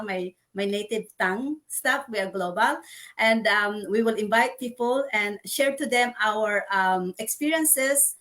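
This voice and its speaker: A woman from the Philippines hosting a video-call chat, speaking earnestly and haltingly with frequent fillers. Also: fairly high pitch, a bit bright. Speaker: host. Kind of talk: casual chat.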